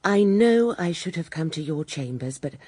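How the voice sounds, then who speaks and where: A woman playing a poised, candid British widow in a radio drama, genteel and measured, with a dark husky voice. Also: low voice, expressive, no room sound. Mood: gracious. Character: poised, candid upper-class widow, coolly charming.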